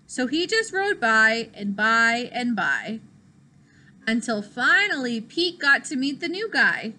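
A young adult woman, a teacher reading a picture book aloud to children, expressive and deliberate. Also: on the high side. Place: small classroom, indoors.

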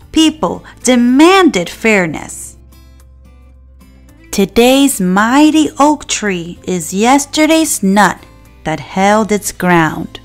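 Lady doing a kids book read aloud, expressive, kinda slow, bit of music behind. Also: a little high. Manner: expressive, deliberate storytelling delivery. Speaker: children's read-aloud narrator. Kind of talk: scripted picture book narration for children. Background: faint music.

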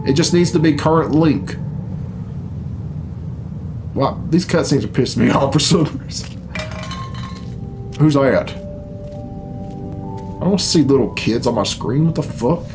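Grumbling man, higher-pitched voice, music behind. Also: animated, takes it slowly, pauses often. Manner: grumbling, blunt, profane. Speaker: reaction video host. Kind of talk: casual off-the-cuff reaction commentary. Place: small furnished home room indoors. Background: game cutscene music.

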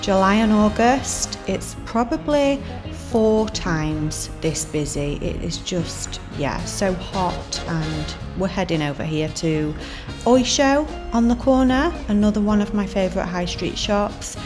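A woman in her thirties talks through a city fashion vlog in a relaxed, chatty way, trailing off into little asides. Her voice sits at an ordinary middle pitch. Clear background music plays under the voice-over. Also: takes it slowly. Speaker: fashion vlogger.

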